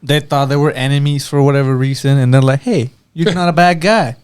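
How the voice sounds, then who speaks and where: A man's voice: mid-range voice, brisk, smooth. A man on a podcast panel in casual chat, joking and acting out quotes as he retells things.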